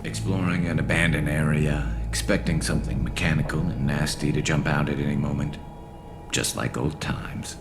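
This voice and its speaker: A man's voice: very deep voice, steady tone, moderate pace, noisy background. A man voicing a dry, world-weary alien soldier in a video game, with a sardonic manner.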